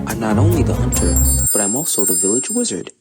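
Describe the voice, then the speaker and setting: A woman's voice: very deep voice, very animated, fast, voice pushed down. A woman voicing a friendly man, a village hunter and wizard, in a hearty, theatrical storybook manner. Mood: curious. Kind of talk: children's storybook character voice. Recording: music bed behind the voice.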